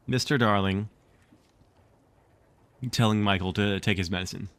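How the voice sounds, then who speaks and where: A man streaming casually, chatting and reading a storybook aloud in an expressive, informal way. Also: fairly low pitch, a little slow. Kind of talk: casual livestream chat and expressive read-aloud narration.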